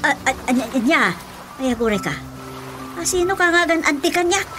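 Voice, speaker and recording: A woman's voice: high-pitched, expressive, moderate pace, music in the background. A woman voicing a flustered, exclaiming village auntie with an Ilocano Filipino accent in a comedic radio drama. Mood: startled, puzzled.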